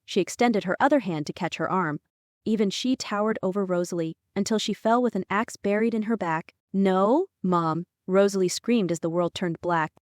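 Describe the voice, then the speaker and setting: A woman's voice: medium pitch, normal speed, darkish tone, dry recording, clean studio sound. A woman narrating a fantasy audiobook from a script, acting out some dialogue within it.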